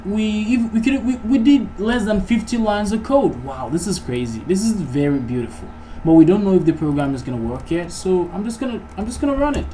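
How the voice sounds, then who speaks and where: A man giving a casual programming tutorial, thinking aloud in an informal, halting way as he works. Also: a little high, mellow. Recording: noisy mic with keyboard typing. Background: background noise, keyboard typing.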